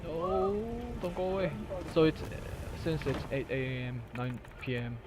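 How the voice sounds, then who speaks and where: A man from Korea with a Korean accent, casually talking through a video game glitch, halting and searching for words in English. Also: fairly high pitch, noisy background. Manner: halting, with fillers and restarts, laughs now and then. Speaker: gamer recording a tutorial. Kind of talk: casual gameplay commentary. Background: game music and sounds.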